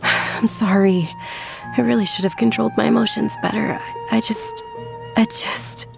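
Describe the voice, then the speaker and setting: A gentle young noblewoman in a video game, soft-spoken and apologetic. Her voice is a touch high with a gravelly fry. Game music sounds beneath her. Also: fairly even intonation, normal speed. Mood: tearful.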